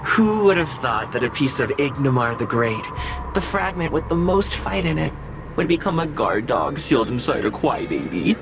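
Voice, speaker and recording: A man's voice: a little high, very animated, moderate pace, vocal fry. A man voicing a mocking, theatrical, malevolent spirit in a video game, drawing out his words. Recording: loud music behind the voice.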